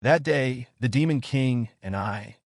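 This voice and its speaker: A man's voice: medium pitch, measured pace, no echo, clean studio sound. A man voicing a composed, weary young mage from a manga in a restrained, brooding manner. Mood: hesitant.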